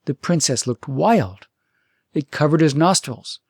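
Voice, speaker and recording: A man's voice: on the high side, expressive, measured pace, breathy edge, no echo, clean studio sound. A man reading a fairytale aloud as an audiobook storyteller, in scripted narration.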